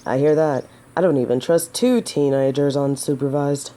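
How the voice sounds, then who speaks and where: A woman's voice: very deep voice, steady tone, normal speed, mellow. A woman playing a noble, humble lady knight in an audio drama, courteous and protective, with formal, old-fashioned courtly phrasing. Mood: friendly, curious. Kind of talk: scripted roleplay audio drama to the listener.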